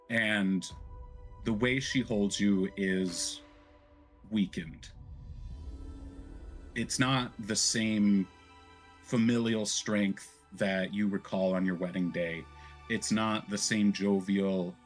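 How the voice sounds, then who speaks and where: A man narrating a tabletop game as game master, with deliberate, dramatic pauses. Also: lowish voice. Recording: music behind the voice.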